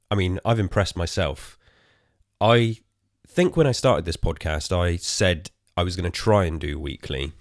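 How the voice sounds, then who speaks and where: A tired, hoarse man with an English accent, rambling casually as host of his own podcast, swearing and poking fun at himself. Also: low-pitched, dry recording, clean studio sound. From England. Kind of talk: casual solo podcast chat.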